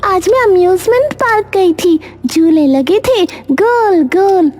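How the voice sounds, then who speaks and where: Very high-pitched little girl. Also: expressive, takes it slowly, darkish tone, full character voice. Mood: cheerful. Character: innocent, cheerful little girl, playful daughter. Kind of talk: animated cartoon story dialogue.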